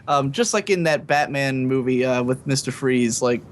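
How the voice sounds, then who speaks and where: A man's voice: mid-range voice, normal speed, noisy background. A man in his twenties co-hosting a podcast, talking casually and rambling with lots of fillers. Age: 20s. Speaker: podcast co-host. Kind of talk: casual chat.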